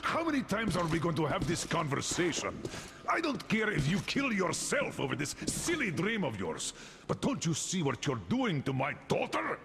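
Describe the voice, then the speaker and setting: Gravelly, commanding older colonel. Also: male voice, on the high side, huge pitch swings, normal speed, music in the background, exaggerated voice. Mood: angry, scolding. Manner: scolding, commanding, forceful. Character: stern older military colonel, overbearing father-in-law. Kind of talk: dramatic video game cutscene acting.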